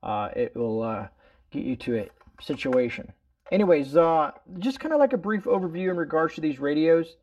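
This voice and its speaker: A man's voice: fairly high pitch, expressive, normal speed, a little mellow, dull audio. A man hosting a casual product demonstration indoors at home, explaining things in a rambling, folksy way. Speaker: host of a product review video.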